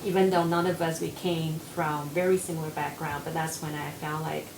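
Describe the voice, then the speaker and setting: A woman's voice: sits low, steady tone, brisk. Young Taiwanese woman counselor, earnest. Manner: earnest, with many fillers. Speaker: mental health counselor. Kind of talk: casual panel discussion. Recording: echoey room with audible noise.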